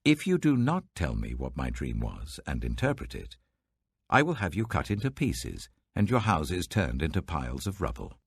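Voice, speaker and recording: A British man voicing an imperious, threatening ancient king in a scripture audiobook, commanding and deliberate. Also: very deep voice, wildly swooping pitch, gravelly, slightly rough, no room sound, exaggerated voice. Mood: stern, threatening.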